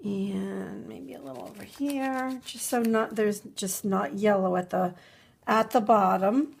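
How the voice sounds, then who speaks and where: A middle-aged woman talks slowly and easily as she crafts at her desk, thinking out loud and repeating little words to herself. Her voice carries a slight stuffiness from a cold, and her pitch is mid-range. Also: steady tone, vocal fry, breathy edge. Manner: chatty, musing aloud, repeats words to herself. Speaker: craft video host. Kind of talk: relaxed crafting tutorial narration. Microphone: overhead camera above a desk, hands only.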